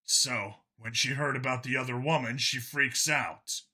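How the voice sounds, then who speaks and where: A man voicing a jaded, emotionally guarded male cop who talks bluntly and haltingly, questioning himself, in an audiobook story. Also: mid-range voice, sharp tone. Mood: troubled, unsure.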